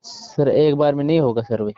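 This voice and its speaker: A man's voice: fairly high pitch, moderate pace, dark tone. An Indian man on a muffled recorded phone call, negotiating informally in an insistent, repetitive way with English words mixed in. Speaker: phone caller posing as a soldier buyer. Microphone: phone line.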